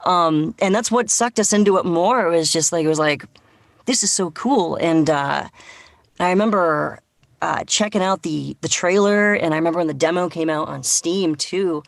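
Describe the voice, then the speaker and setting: A woman voice actor chatting casually and excitedly on a panel, slipping into quoted reactions in an informal way. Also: on the low side, normal speed, creaky, no room sound. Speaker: voice actor and casting helper.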